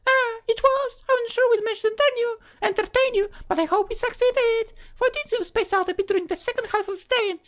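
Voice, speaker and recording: A woman's voice: extremely high pitch, fairly quick, few pauses, voice pushed up. A woman voicing a flustered, caring teenage schoolgirl in a visual novel, stammering and twitchy, with music behind her. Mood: hazy, hesitant. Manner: stammering, twitchy when embarrassed. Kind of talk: visual novel character dialogue.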